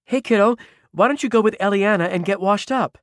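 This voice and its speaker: A man plays a young woman in an audiobook story. The voice sits high, brisk and colloquial, with a protective, incredulous edge. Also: dry recording, studio quality, close to an everyday voice. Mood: matter-of-fact.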